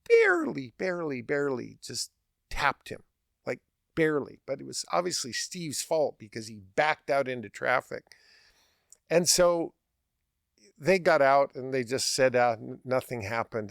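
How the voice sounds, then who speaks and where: A man's voice: a little high, vocal fry, fairly bright tone, dry recording, clean studio sound. A man hosting a podcast, telling a casual anecdote with emphatic repetition and an amused manner. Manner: chuckles while talking, repeats phrases for emphasis. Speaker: podcast host.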